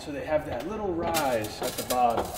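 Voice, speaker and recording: A man's voice: medium pitch, expressive, a little fast. A man in his thirties, a gym owner, casually walking a camera through his new gym build-out, talking loose and profane with lots of fillers. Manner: laid-back, profane, filler-heavy. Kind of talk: casual vlog walkthrough. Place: large empty warehouse gym space. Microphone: far camera. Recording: echoey warehouse with long reverb, noisy.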